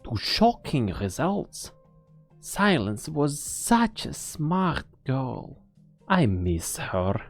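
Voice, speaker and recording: A sly, coldly amused scientist played by a woman teases in a low, very creaky drawl. Her pitch swings dramatically as she lingers on her words, like a game villain, over faint music. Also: very animated, very slow, heavily acted. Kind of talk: English fan dub of an anime game.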